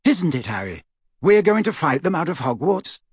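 A man's voice: mid-range voice, wildly swooping pitch, a little slow, breathy edge, dry recording, studio quality, heavily acted. A man voicing a serenely cheerful, guileless teenage girl in an audiobook. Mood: bright, eager. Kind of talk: audiobook character voice.

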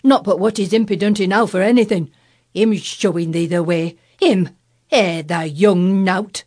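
A woman voicing a blunt, gruff old gardener man with a hoarse, shaky voice and broad Yorkshire dialect in a storybook reading. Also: medium pitch, unhurried, a little mellow, natural voice. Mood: indignant. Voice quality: hoarse, quavering.

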